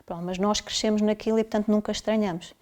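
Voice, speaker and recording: A woman's voice: mid-range voice, fairly even intonation, fast talker, darkish tone. A middle-aged woman from South Africa, a family doctor, explaining her experiences in interview answers, with many fillers and restarts along the way.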